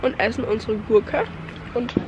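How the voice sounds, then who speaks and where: A young woman chatting playfully straight to the viewers, her voice a touch high, filmed with a handheld camera over clear wind and street noise. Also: fairly even intonation, measured pace. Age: young adult. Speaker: vlogger. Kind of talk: casual travel vlog chat. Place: outdoors and in a car on a road trip. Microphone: handheld camera at arm's length. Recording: outdoor noise from traffic and wind.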